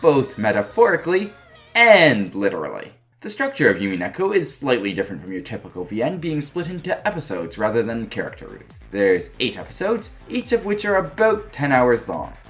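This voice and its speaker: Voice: mid-range voice, wildly swooping pitch, fairly quick, fairly bright tone, soft background music. A reviewer narrating a scripted review in an informal, wry and emphatic way. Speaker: video game reviewer.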